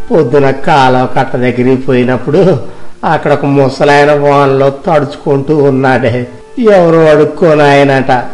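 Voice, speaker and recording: Man acting a proud village husband, high pitch, complaining, drawn-out exclamations, storybook drama, light background music. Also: a little mellow, natural voice, rough recording. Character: arrogant rich village husband, bewildered by a curse. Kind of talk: acted moral-story dialogue.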